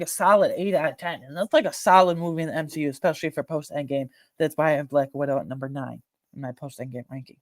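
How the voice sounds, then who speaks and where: Casual movie-ranking host: mid-pitched, conversational, slangy, emphatic and opinionated, recorded dry. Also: no room sound. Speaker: video host giving a film ranking.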